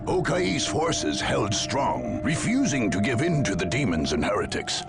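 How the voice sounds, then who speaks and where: A man voicing a grave storyteller in dramatic, scripted video game narration, measured and portentous. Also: medium pitch. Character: grave storyteller chronicling a war.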